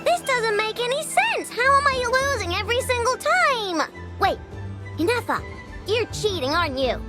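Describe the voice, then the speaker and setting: A woman voicing a cheeky, excitable little girl inventor in a video game, childlike and quick to sulk. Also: very high-pitched, over music. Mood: indignant, sulky. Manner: childlike, indignant, playful.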